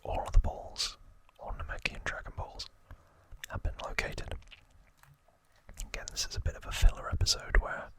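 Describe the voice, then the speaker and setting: Male ASMR host, breathy bright whisper, unhurried and informal recap delivery. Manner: slow, hesitant, informal explaining.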